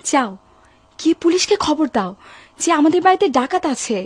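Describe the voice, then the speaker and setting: High-pitched, clever young Marjana. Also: female voice, animated, normal speed, no room sound. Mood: urgent. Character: clever, resolute young servant girl Marjana. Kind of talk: dubbed cartoon acting.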